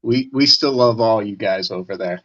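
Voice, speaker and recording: A man's voice: on the low side, moderate pace, fairly bright tone. Easygoing man on lo-fi call audio. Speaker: guest on a podcast. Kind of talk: casual chat.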